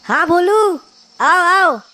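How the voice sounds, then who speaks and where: A woman's voice: very high-pitched, expressive, extremely slow, sharp tone, slight background noise, exaggerated voice. A woman voicing a greedy, sly village friend in a cartoon story, coaxing and falsely friendly. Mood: amazed, friendly. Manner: coaxing, exclamatory. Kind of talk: animated moral story acting.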